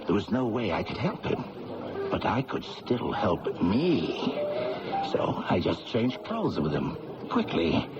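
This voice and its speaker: A man's voice: fairly low pitch, wildly swooping pitch, takes it slowly, exaggerated voice. An actor playing a roguish, hard-drinking man in a radio drama, breezy, wry and unrepentant about being caught as an impostor. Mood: confessional. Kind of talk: old-time radio drama acting. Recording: dull radio broadcast with loud music.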